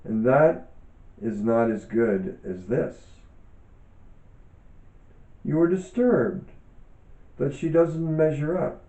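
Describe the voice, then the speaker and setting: A man reads a reflective self-help text at a slow, deliberate pace, leaving frequent pauses between short phrases. His voice is very soft-edged and dark. The recording is dull, with noticeable room echo. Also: medium pitch. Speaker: author and yoga teacher reading from own book. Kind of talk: measured reading aloud, reflective self-help teaching.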